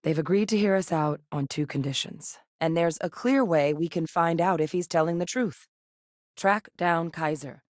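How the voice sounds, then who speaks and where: A woman voice actor playing a serious, determined officer in a sci-fi video game, speaking in a measured, businesslike way. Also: fairly low pitch, a little mellow, no room sound, clean studio sound, natural voice. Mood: businesslike, resolute. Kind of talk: scripted game character dialogue.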